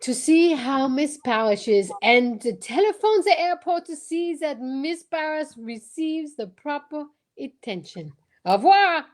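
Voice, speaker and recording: Radio mystery sleuth, female performer: courteous male Belgian, high voice, formal phrasing with theatrical flourishes. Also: very animated, unhurried. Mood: concerned, knowing. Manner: formal, theatrical, peppered with French exclamations. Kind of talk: old-time radio detective drama acting.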